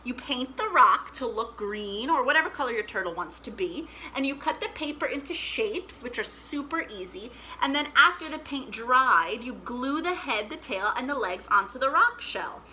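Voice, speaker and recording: A woman hosting a children's storytime, chatty and animated, speaking directly to young children. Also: a little high, moderate pace, few pauses, slight background noise.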